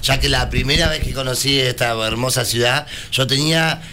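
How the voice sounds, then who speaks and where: A man's voice: medium pitch, fairly even intonation, fairly quick, a little hiss or hum. A man from Argentina with an Argentine accent, a circus performer who jokes and speaks with hesitant fillers. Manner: joking, warm, hesitant fillers.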